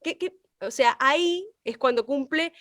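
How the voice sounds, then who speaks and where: Argentine woman w a high voice explaining with little acted examples, very dry audio. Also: measured pace, no room sound. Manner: expressive, illustrative. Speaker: radio language teacher.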